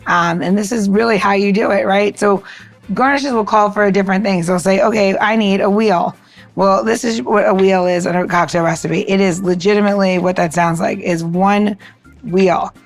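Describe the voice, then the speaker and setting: A woman's voice: mid-range voice, steady tone, normal speed, soft background music. A woman podcast co-host chatting casually and explaining things conversationally, often checking in with the listener. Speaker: podcast co-host giving a cocktail tip. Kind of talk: casual chat and demonstration.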